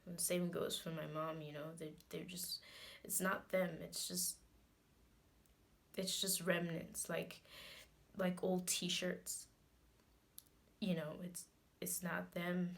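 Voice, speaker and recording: A woman performing a dramatic monologue as a guarded, hot-headed grieving daughter, talking colloquially and haltingly, with repeated phrases. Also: lowish voice, flat delivery. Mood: subdued, pained.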